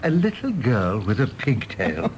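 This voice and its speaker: A man's voice: lowish voice, huge pitch swings, measured pace, rough recording. A fussy, genial old English retainer in a radio play. He is formal and deferential, a little hesitant, and his intonation bobs up and down over faint background noise. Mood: genial, courteous. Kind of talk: British stage-play radio drama acting.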